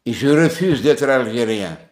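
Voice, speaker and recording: A man's voice: mid-range voice, lively intonation, moderate pace. A man from Kabylie, delivering an impassioned political monologue and repeating words for stress. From Kabylie, Algeria. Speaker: political commentator. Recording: echoey room.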